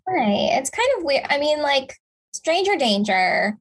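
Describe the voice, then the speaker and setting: A woman co-hosting a podcast, chatting casually with quick agreeing interjections. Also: high voice, sing-song. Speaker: podcast co-host. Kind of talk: casual chat.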